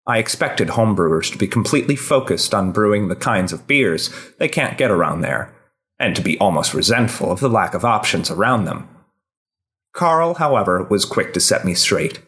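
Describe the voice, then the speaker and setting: A man's voice: on the low side, expressive, normal speed, a bit bright. A man hosting a podcast and reading a scripted personal essay aloud.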